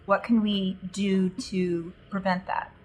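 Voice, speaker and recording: A woman's voice: mid-range voice, level tone, slow, darkish tone, slight background noise. A woman playing a tabletop roleplaying game, talking through the group's plans in a casual way.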